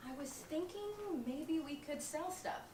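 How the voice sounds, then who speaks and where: A woman's voice: sits high, measured pace, over a noisy crowd. An actress playing a practical young woman roommate in a live stage comedy, often exasperated. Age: young adult. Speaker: stage actor. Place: small theatre stage. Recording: roomy stage, distant mic, background noise.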